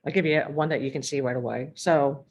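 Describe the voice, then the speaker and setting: A woman teaching a math lesson while losing her voice, clearing her throat and walking through examples step by step. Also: low-pitched, steady tone, brisk, darkish tone, lo-fi sound.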